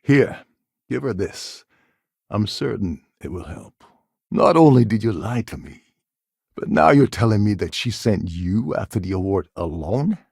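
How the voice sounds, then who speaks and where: A man playing a gruff, sardonic space pirate con man in a video game, with a gravelly, husky voice and a wry, scheming manner. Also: lowish voice, very animated, measured pace, darkish tone, dry recording, studio quality, heavily acted. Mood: irritated, sarcastic. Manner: wry, sarcastic, world-weary.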